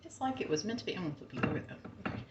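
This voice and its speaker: A woman's voice: fairly low pitch, lively intonation, racing, dull audio, heavy background noise. Chatty Southern woman cooking in an echoey kitchen. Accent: American Southern. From American South. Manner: chatty, thinks aloud, talks to the food. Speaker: home cook. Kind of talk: casual cooking vlog chat. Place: home kitchen.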